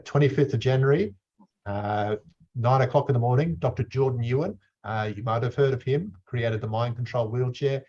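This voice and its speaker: A man's voice: lowish voice, darkish tone. A man who leads a school, speaking enthusiastically about new plans in a video call discussion. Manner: enthusiastic, promotional. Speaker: school leader. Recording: dull lo-fi video call audio.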